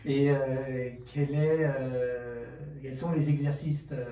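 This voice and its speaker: A man hosting a live radio interview, asking questions in a hesitant, halting way. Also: medium pitch, steady tone, warm tone. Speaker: radio host interviewing athletes. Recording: roomy, slightly distant mic.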